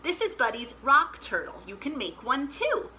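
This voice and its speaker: Woman, children's storytime host, very bright high voice, chatty, animated, faint background noise. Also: normal speed. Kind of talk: storytime reading and chat to young children.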